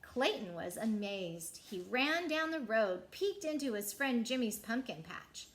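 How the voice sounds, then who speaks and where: A woman reading a picture book aloud as a children's storyteller, with deliberate, dramatic phrasing. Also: on the high side, lively intonation.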